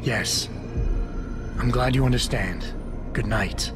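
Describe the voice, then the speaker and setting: A man's voice: mid-range voice, slow pace, close to an everyday voice. A man playing a wry, terse security chief in a video game cinematic. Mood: curt. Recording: loud music behind.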